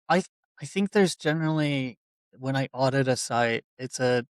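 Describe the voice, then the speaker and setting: A man hosting a casual podcast interview, relaxed and conversational, with an easy laugh and a lot of filler words. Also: mid-range voice, no room sound. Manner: relaxed, conversational, laughs easily, many fillers like "you know". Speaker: podcast host, website builder.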